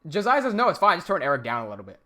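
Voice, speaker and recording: Bright, lively American man streaming. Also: fairly high pitch, very fast. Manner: chatty, reads out viewer questions while fiddling with software. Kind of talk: casual live-stream Q&A.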